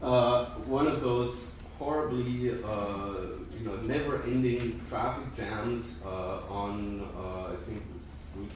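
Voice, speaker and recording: A man's voice: medium pitch, takes it slowly, few pauses, darkish tone. A middle-aged man with a German accent giving a casual academic lunch talk, rambling with many fillers and restarts. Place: lecture room. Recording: roomy distant recording with noise.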